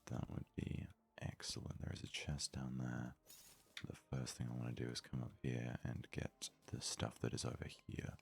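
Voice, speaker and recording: A man giving soft-spoken, casual ASMR commentary while playing a video game, muttering in a hushed voice close to the mic. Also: very deep voice, completely flat delivery, normal speed, creaky, slightly rough. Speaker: gaming video host.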